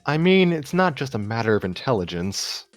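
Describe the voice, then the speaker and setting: A man's voice: mid-range voice, sing-song, moderate pace, dry recording, faint music behind, voice pushed up, close to an everyday voice. A man voicing a downcast, self-doubting young woman student in a story reading, hesitant and wry. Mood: subdued.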